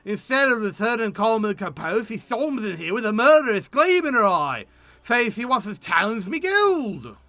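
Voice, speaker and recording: A scheming fantasy game guildmaster, played by a man. He blusters in an emphatic, folksy way, with a high voice that swings up and down a lot. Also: sing-song, normal speed, sharp tone, no echo, heavily acted. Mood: indignant.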